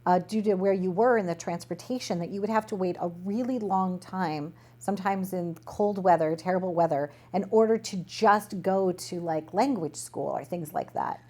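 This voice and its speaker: A woman's voice: fairly low pitch, moderate pace, hardly any pauses, a little mellow. A woman talk show host interviewing conversationally, effusive and full of compliments.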